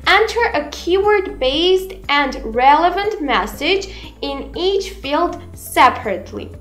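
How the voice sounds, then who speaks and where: A woman in her twenties giving a scripted, instructional software tutorial in a deliberate manner. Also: high-pitched. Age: 20s. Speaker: tutorial presenter. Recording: roomy echo, music underneath. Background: background music.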